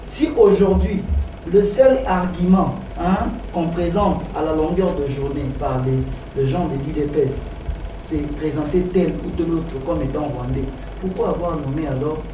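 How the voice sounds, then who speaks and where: A man with a Congolese accent making a political debate speech, halting and emphatic, repeating his key phrases. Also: on the high side. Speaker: political party spokesman, debate guest. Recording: roomy, distant mic, clearly noisy.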